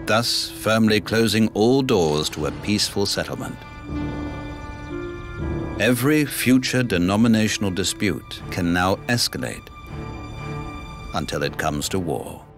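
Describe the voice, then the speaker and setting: Male narrator, low register, formal measured read for an educational documentary, music underneath. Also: expressive. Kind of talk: scripted narration.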